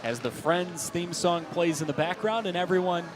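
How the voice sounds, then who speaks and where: A man's voice: high-pitched, moderate pace. An American man doing live baseball play-by-play in clipped, present-tense calls, built to swell on big plays. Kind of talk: live sports commentary. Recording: ballpark ambience behind the voice. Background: outdoor stadium background noise.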